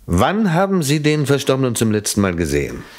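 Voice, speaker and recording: An actor playing a polite, probing police inspector in a German radio crime play, questioning with courteous insistence. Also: male voice, medium pitch, wildly swooping pitch, a little fast.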